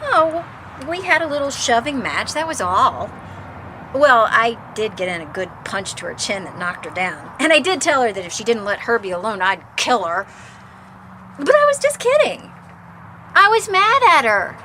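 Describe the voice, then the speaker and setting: A naive young college student in a radio mystery, indignant and breezy. Her high, bright voice leaps around defensively, over faint background noise. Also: very animated, moderate pace, poor audio. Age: young adult. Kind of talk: radio mystery drama acting.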